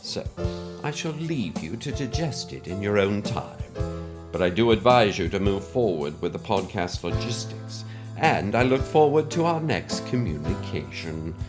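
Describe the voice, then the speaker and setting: A man's voice: on the low side, sing-song, a little slow, music in the background. A man playing a pompous, mysterious conspiracy theorist in a formal, verbose, pedantic scripted monologue. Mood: self-satisfied, formal. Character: pompous mysterious male conspiracy-theorist scientist on a cassette letter.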